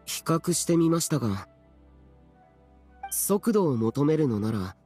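An actor playing a stern, reserved, youthful immortal warrior in an anime game, with terse, archaic and formal speech. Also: male voice, fairly high pitch, sing-song, takes it slowly, a little mellow, husky, faint music behind, full character voice. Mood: deferential, hesitant.

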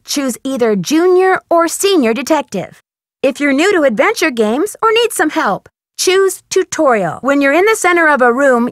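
A woman playing a curious, determined young female detective in an adventure video game, articulating her lines clearly and inquisitively. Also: sits high, fairly bright tone, no echo, clean studio sound. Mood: friendly, instructive. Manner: crisply articulated, inquisitive, self-narrating. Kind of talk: scripted adventure game dialogue.

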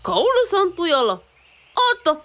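A woman's voice: very high-pitched, very animated, normal speed, full character voice. A woman playing a boastful, eccentric rich guru in a radio drama, pompous and giggly, with mock traditional-storyteller phrasing. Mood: pompous, teasing.

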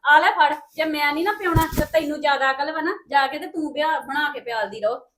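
An actress playing a young daughter-in-law in a family drama who argues back and justifies herself. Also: female voice, high voice, fast, fairly bright tone, a little hiss or hum. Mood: retorting. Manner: argumentative, self-justifying.

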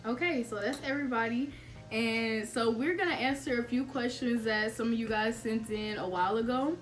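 A young woman from Tennessee hosting a relaxed group chat with friends, laughing easily and using slang. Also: fairly high pitch, normal speed. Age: young adult. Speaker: host. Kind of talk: casual chat. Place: dorm room with string lights.

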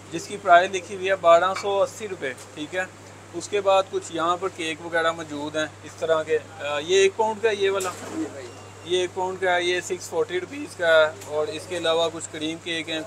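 A young man from Pakistan with a Lahori Urdu accent, touring a bakery for his food vlog, chatty and keen, often saying "yaar". He wears a lapel mic in a busy shop. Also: a little high. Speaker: food vlogger. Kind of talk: casual food vlog walkthrough. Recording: crowd chatter and traffic behind.

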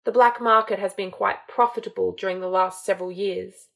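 A woman's voice: mid-range voice, steady tone, a little fast, creaky, a bit bright. A woman voicing a formal, strained male servant reporting to his master in an audiobook reading.

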